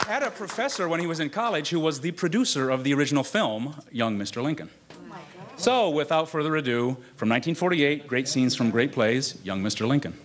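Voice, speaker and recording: A man's voice: on the high side, lively intonation, brisk, crowd noise. A man presenting a live performance at a convention with an informal stage introduction. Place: live in front of a convention audience.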